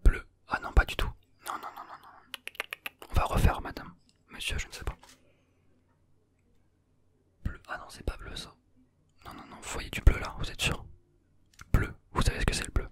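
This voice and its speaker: A young adult whispering throughout an ASMR roleplay as a playful, teasing examiner who gently reassures the listener. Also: a little fast. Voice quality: soft unvoiced whisper.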